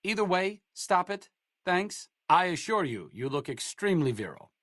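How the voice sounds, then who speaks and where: A man's voice: high voice, big pitch swings, moderate pace, a bit bright, no echo. A man voicing a sardonic middle-aged male doctor in a video game, delivering companion lines with dry, sarcastic wit. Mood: irritated. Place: studio booth. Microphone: close studio mic.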